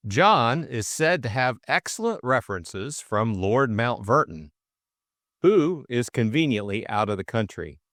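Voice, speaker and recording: A man's voice: mid-range voice, big pitch swings, unhurried, a bit bright, dry recording, studio quality. A man reading scripted story narration as an audiobook narrator.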